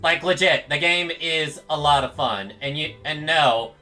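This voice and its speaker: A man with a fairly high, very bright voice streams a video game. He comments casually and chats with viewers over faint game music. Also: a little slow.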